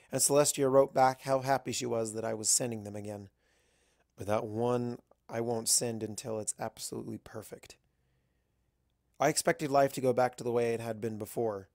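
A man's voice: medium pitch, a little mellow, no room sound, clean studio sound. A man reading a first-person story as a scripted narration. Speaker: fan fiction narrator.

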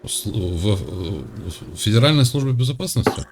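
A man from Russia hosting a chat, needling and mocking the person he talks to in a deadpan way. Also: fairly low pitch, moderate pace, a little hiss or hum. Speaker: host. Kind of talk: mocking video-call chat.

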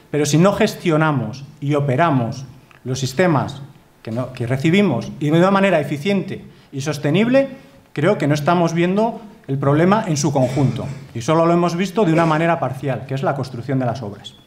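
Male presenter, 30s, Spanish accent, slightly high voice, earnest and emphatic lectern delivery. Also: expressive, moderate pace. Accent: Spanish from Spain. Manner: earnest, emphatic, frequent tag questions. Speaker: conference speaker. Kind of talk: formal conference talk with slides. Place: wood-panelled lecture hall.

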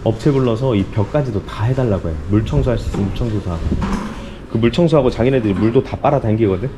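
A man's voice: on the low side, fast talker. A man hosting a vlog, talking casually on location as he walks around and speaks with staff. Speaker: vlog host and business owner. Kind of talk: casual on-site chat. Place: indoor shop under renovation and offices. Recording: noisy handheld camera location sound.

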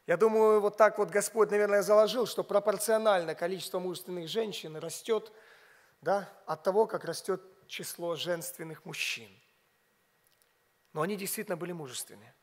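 Non-native pastor preaching, high voice, asks the crowd questions then goes quiet, big church reverb. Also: male voice. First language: not Russian. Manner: emphatic preaching with rhetorical questions, drops to a hush on key words. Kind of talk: sermon. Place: large church stage, behind a pulpit. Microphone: pulpit mic. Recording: long hall reverb.

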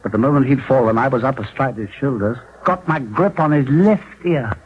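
An actor playing a boastful young rascal of a son, spinning tall tales in grandiose 1940s radio style. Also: male voice, mid-range voice, a little fast, close to an everyday voice. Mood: grandiose, excited. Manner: declamatory, storytelling, grandiose. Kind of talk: 1940s radio drama acting. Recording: muffled 1940s radio broadcast, faint noise.

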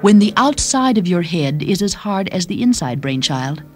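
Doting young mother, chatty, confiding, low female voice, radio story, music behind. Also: normal speed, breathy edge. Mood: fond, teasing. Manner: gushing, confiding, expressive. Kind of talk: radio drama monologue.

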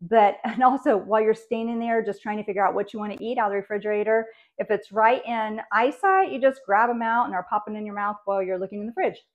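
A woman explains her food prep in a relaxed, practical way while she works. She is recorded in a home kitchen on a lapel mic. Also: mid-range voice, fast, few pauses, lo-fi sound. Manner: friendly, practical, talks while working. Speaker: cooking channel host. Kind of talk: casual kitchen how-to.

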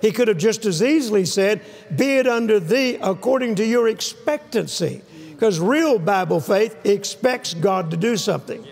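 An older man preaches on stage, emphatic and repetitive, drawing amens from the crowd. His voice sits high for a man and has a gravelly edge. A lapel mic catches him, with a long reverb trailing through the big hall. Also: lively intonation, normal speed, darkish tone. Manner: emphatic, repeating key phrases, calls for amens. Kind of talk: sermon. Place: large church hall with a stage and live audience.

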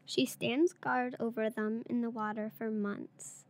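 A woman's voice: fairly high pitch, flat delivery, unhurried, a little mellow. A girl of about eight or nine, a third-grade pupil, reading a picture book aloud. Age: child, around eight or nine.

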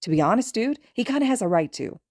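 A woman's voice: fairly high pitch, big pitch swings, quick pace, darkish tone, dry recording, studio quality, voice pushed up. A woman reading as a blunt, loyal teenage boy. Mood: gentle. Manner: scolding, earnest.

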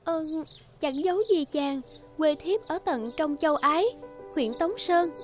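A woman voicing a gentle, delicate young fairy maiden in a cartoon. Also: sits high, a little slow, soft background music. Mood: grateful, polite.

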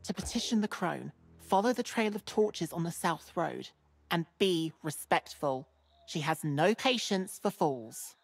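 A gentle fen-dwelling woman in a video game. Her voice sits fairly low and she speaks sincerely and with measured care. Faint music plays behind her. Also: animated, gravelly. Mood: protective, firm. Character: gentle, earnest fen-dwelling woman defending a misunderstood crone. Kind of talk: video game character dialogue.

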